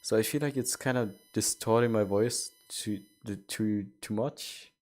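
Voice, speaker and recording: Young male streamer with a lowish voice, chatty and rambling while he plays. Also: a little slow, a little mellow. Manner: rambling, self-correcting. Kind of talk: casual chat while gaming.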